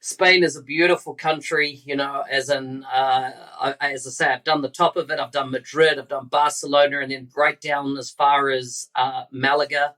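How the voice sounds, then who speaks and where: A man's voice: mid-range voice, little pitch movement, moderate pace, few pauses, sharp tone, poor audio. A man hosting a podcast, introducing a guest and chatting in a friendly, conversational way. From New Zealand. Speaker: podcast host.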